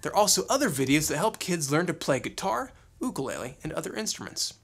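A man's voice: a little high, very animated, quick pace, a bit bright. A man in his thirties hosting as a children's music teacher, talking upbeat and encouragingly in simple phrases and questions aimed at little kids. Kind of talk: upbeat talk to young children.

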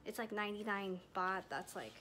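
A woman's voice: mid-range voice, moderate pace, slight background noise. Chatty young woman vlogging in a hotel room. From Thai, raised in the US. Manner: rambling, heavy use of "like", soft and chatty. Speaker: travel vlogger. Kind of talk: casual vlog to camera. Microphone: phone camera at arm's length.

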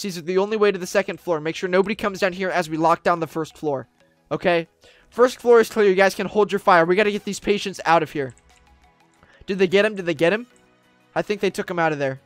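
A young man streaming a roleplay game, leading a team with urgent commands that swing from hushed whispers to shouts, recorded on a desk mic in a small room. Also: sits high, no echo. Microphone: desk mic, webcam streamer.

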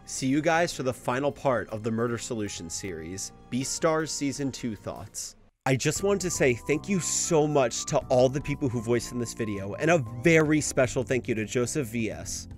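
A man's voice: mid-range voice, lively intonation, moderate pace, soft background music. A young adult man narrating a scripted video essay in a casual style. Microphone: home microphone, voice-over.

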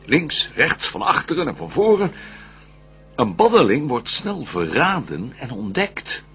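A proud, scheming devil of verse radio drama, rasps out his plans slowly and grandly. The voice is gravelly and forceful, swooping from low menace to loud declamation. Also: wildly swooping pitch, faint music behind, exaggerated voice. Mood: wary, plotting. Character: vengeful banished devil, proud, scheming, fallen angel.

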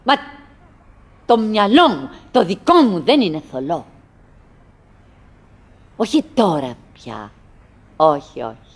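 An actress playing a wistful middle-aged woman who chatters bravely to her husband in halting, theatrical radio drama monologue. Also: lowish voice, big pitch swings, breathy edge, exaggerated voice. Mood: musing, wistful. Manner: halting, fragmented, dramatic, swinging between murmurs and calls.

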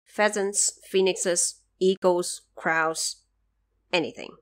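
A woman narrator telling a scripted story for an audio drama in an expressive, measured way. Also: fairly low pitch.

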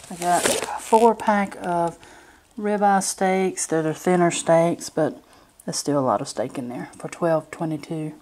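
A woman talking casually and plainly as she narrates a grocery haul on a handheld camera at home. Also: fairly low pitch. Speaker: home vlogger. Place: indoors at a kitchen or dining table. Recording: noisy home recording, crinkling packages. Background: crinkling packaging, clicks, background noise.

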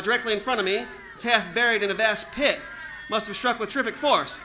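A man playing an excited radio reporter at the scene in 1930s radio style, hesitant and stammering with urgency. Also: high voice, a little hiss or hum, poor audio. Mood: breathless awe. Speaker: actor in a radio drama recreation.

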